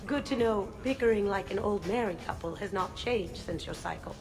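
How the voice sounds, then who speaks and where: A woman plays a sly, self-assured seductress in a video game. Her mid-range voice teases and hints as she talks. Music is clearly heard behind her. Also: mid-range voice, normal speed, lightly acted. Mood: teasing. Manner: teasing, suggestive.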